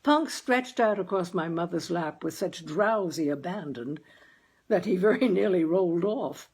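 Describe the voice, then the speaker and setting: A woman reading a short story aloud as narrator, in a measured, expressive way. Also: on the low side, breathy edge. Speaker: reader and host of a story series.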